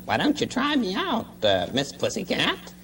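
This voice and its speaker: A woman's voice: mid-range voice, huge pitch swings, moderate pace, a bit bright, distant mic, a little hiss or hum. A woman voicing a friendly, eager donkey in children's puppet television, with an agreeable, folksy, reassuring manner.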